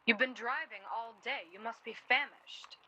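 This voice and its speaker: Outspoken, self-deprecating young city woman for radio drama, bright forward voice, wry delivery, performed by a woman. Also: a little high, normal speed, slight background noise, low-quality recording. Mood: chatty, self-deprecating. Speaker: actor in a radio play. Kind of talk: naturalistic radio drama acting.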